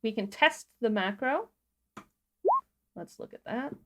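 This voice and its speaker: A woman co-hosting a livestream, explaining software step by step in an informal, casual tutorial style. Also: a little high, expressive, slow, heavy background noise. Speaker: livestream co-host demonstrating a tutorial.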